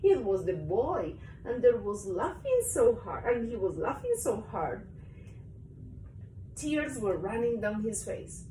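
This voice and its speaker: A woman reading a children's story aloud to a young child in an animated, expressive way. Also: medium pitch, slow pace. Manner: expressive, acts out story parts. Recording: roomy, distant mic, faint noise.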